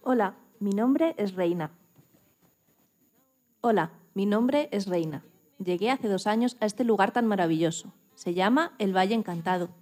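A woman's voice: mid-range voice, expressive, measured pace, darkish tone. Woman reading a scripted first-person story.

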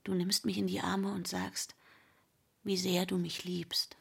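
An actress playing a drowsy, guarded wife in a radio drama, murmuring haltingly in a whispery voice. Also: female voice, lowish voice, little pitch movement, heavily acted. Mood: dreamy, intimate. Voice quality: breathy, whispery. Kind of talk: intimate radio drama acting.

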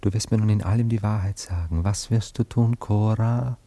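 A man's voice: fairly low pitch, moderate pace, dark tone. A male actor playing an anxious, lovesick poet and amateur hypnotist in a classic German radio play, theatrical and tender. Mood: soothing, commanding. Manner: theatrical, tender, wavering between commanding and evasive.

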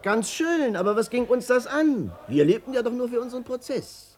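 A man's voice: extremely high pitch, expressive, normal speed. An actor playing an embittered man recounting his ruin in a 1950s German radio drama, emphatic and rhetorical. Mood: dramatic, emphatic.

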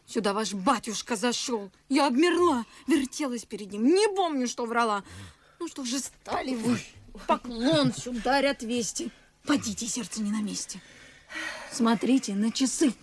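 A lively young maidservant acted by a woman in a verse radio drama. She is pert, anxious and sharp-tongued, her fairly high voice swinging up and down with each exclamation. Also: normal speed, lightly acted. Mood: urgent, fretful. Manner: animated, exclamatory. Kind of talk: classic verse radio play acting.

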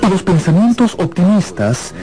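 A man narrating a dramatized radio novel in an expressive storytelling manner with deliberate pauses. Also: high voice. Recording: loud music bed under the voice.

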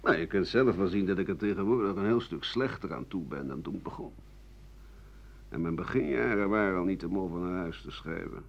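An actor playing a bitter, sardonic old doctor-writer, grumbling theatrically through a radio play monologue. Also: male voice, sits low, animated, a little fast, vocal fry, full character voice. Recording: muffled old radio broadcast recording.

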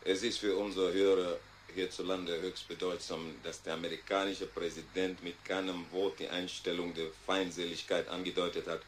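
An actor playing a dry, formal Kenyan radio commentator in a radio drama. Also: male voice, low voice, little pitch movement, normal speed, few pauses, a little hiss or hum, lightly acted. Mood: critical, dry.

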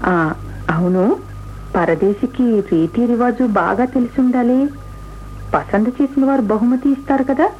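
An actress playing a coy, witty, proud young court dancer in a radio play, with playful, teasing theatrical delivery. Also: female voice, on the high side, a little slow, slight background noise, natural voice. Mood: playful, mocking. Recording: muffled, phone-like old radio recording.

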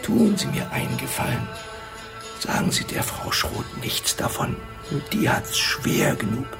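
Old male pensioner, secretive and condescending, slightly high, gravelly voice, for radio crime drama. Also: huge pitch swings, unhurried, music in the background, heavily acted. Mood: guarded, grave. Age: 60s. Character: chatty, secretive old pensioner, gossipy neighbour. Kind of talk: naturalistic radio drama dialogue.

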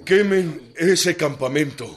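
A man playing a grave, articulate revolutionary-era army general in a radio drama, speaking in a solemn, measured, declamatory way. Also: on the high side, crowd noise. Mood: commanding. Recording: radio play with music underneath.

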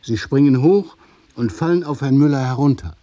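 A man narrating a scripted radio drama in the present tense, with deliberate, measured phrasing. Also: medium pitch, warm tone, lo-fi sound, natural voice.

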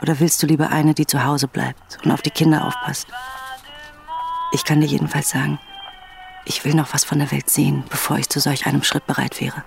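A woman's voice: deep voice, little pitch movement, fairly quick, vocal fry. An actress playing a worn-out, independent and outspoken young working woman in a radio drama, speaking intimately over music. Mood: confiding, weary.

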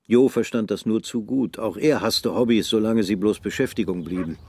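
A male narrator reads literary prose for a radio drama. His voice sits on the low side. The delivery is measured and sober. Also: a little mellow, husky.

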